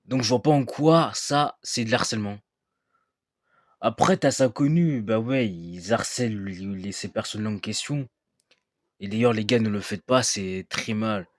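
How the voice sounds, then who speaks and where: A man with a fairly low voice and a close, dry sound. He comments casually on clips, repeats his fillers and lectures his viewers. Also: measured pace, dry recording. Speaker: reaction video host.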